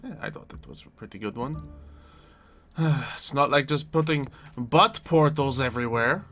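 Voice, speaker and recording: A man's voice: mid-range voice, normal speed, pushed and bright, faint music behind, natural voice. A man playing an eager, childlike prankster at a demigod camp in improvised Minecraft roleplay, chatting and rambling playfully.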